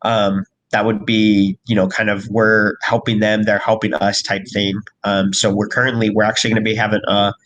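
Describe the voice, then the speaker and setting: A man from a crypto project team giving a casual update in a live voice chat, hoarse from recent illness and rambling with lots of fillers and restarts. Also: on the low side, level tone, moderate pace, few pauses, a bit bright, slight background noise, rough recording. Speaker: crypto project team member hosting an AMA.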